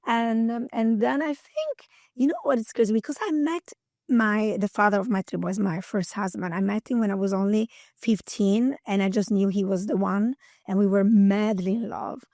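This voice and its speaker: A woman's voice: mid-range voice, moderate pace, darkish tone, no echo, clean studio sound. A woman around fifty with an Italian accent, a guest in an interview, talking energetically and chattily and laughing often. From Italy. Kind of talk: interview conversation.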